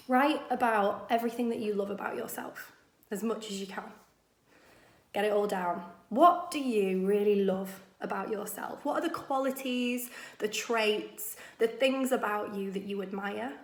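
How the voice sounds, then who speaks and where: A woman confidence coach talking to camera in a casual, encouraging way, her voice husky from a cold. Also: medium pitch, reverberant. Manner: chatty and encouraging, addresses viewers warmly as "my loves". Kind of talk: casual direct-to-camera advice.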